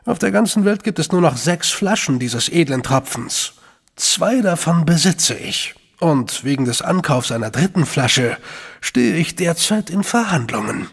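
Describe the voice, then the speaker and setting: An actor playing a courteous, guarded middle-aged gentleman official in a German radio play, with a gravelly, husky voice and measured, hemming delivery. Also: fairly high pitch, lively intonation, in a large hall, heavily acted. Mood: courteous, hospitable. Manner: courteous, measured, hesitant hems. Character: suave, guarded gentleman official, Sherlock's brother.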